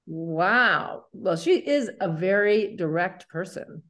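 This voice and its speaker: A woman's voice: fairly low pitch, expressive, takes it slowly, a bit bright. A woman co-hosting an educational discussion, explaining things in an earnest manner. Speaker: show co-host.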